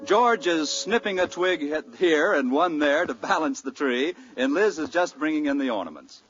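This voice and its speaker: High, animated male vintage radio announcer. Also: moderate pace, slightly rough. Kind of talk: 1940s radio announcing, scripted narration. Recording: muffled old radio recording over music. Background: loud music.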